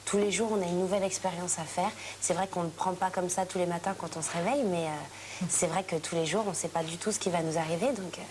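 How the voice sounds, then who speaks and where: Young woman pop singer, lowish voice, quick, chatty with fillers. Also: fairly even intonation, a little mellow, a little hiss or hum. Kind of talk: TV interview answer.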